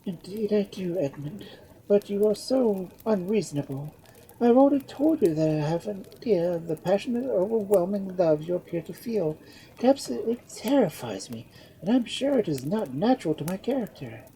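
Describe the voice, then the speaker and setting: A woman voicing a composed young noblewoman who speaks calmly and mildly scolds, in a storybook reading. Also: medium pitch, fairly quick, a little hiss or hum, voice pushed up, natural voice, low-quality recording. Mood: cool.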